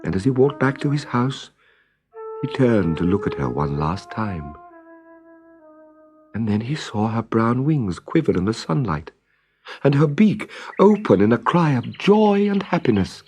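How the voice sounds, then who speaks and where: A man's voice: mid-range voice, big pitch swings, measured pace, darkish tone. A man reading a scripted children's story as narrator, with music behind him.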